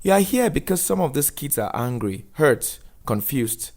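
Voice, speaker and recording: A man as a firm, higher-pitched older social worker. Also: very animated, a little mellow. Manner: firm, measured, admonishing. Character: courteous, firm female social worker in her mid-fifties.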